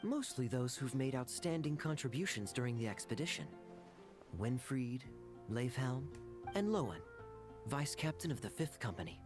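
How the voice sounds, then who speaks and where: An actor playing a suave, teasing knight captain in a video game, with a sly, smooth manner. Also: male voice, mid-range voice, very animated, normal speed, over music, close to an everyday voice. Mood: amused, courteous.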